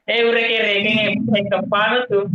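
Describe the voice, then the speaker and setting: Man doing a squeaky shrill excited voice, sing-song squeals, muffled lo-fi sound. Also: normal speed, no echo. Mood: teasing. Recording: muffled lo-fi audio.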